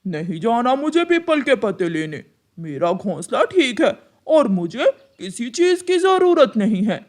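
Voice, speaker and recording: Woman voicing a brash lazy cartoon crow, swooping pitch. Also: big pitch swings, a little slow, a little mellow. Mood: rude, irritated. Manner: brash, dismissive, sing-song boasting. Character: lazy, rude, boastful male crow. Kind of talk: Hindi children's cartoon voice acting.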